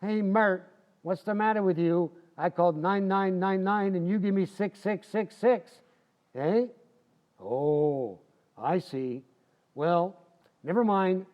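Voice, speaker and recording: A man acts out a folksy, wisecracking husband in an old radio comedy. His voice sits high, cocky and stubborn. The stage recording is a bit muffled. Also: slow pace. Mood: folksy, busy. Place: community playhouse stage, live recreation.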